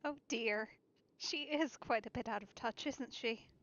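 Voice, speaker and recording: A woman's voice: fairly high pitch, unhurried, lo-fi sound, noisy background. A woman game streamer giving casual, wry commentary as she plays.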